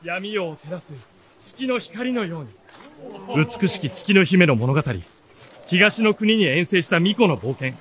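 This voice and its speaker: Radio drama lead: earnest bookish runaway boy, highish animated voice, expressive storytelling delivery. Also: measured pace. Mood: storytelling, lyrical. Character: earnest seventeen-year-old youth, bookish runaway storyteller. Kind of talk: radio drama narration and dialogue.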